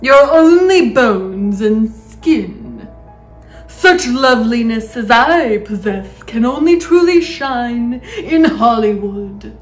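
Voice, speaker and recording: A woman voicing a vain, bossy, cruel, fat aunt in a storybook, in a theatrical, drawn-out, declaiming manner. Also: on the high side, reverberant, soft background music. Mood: vain, gushing.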